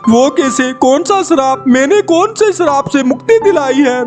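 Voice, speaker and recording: Man doing a high-pitched scheming cartoon thief. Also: expressive, normal speed. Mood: puzzled. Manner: pleading, scheming. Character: cunning, greedy village thief, a cowardly man. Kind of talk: Hindi cartoon voice acting. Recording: loud music behind the voice. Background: loud background music.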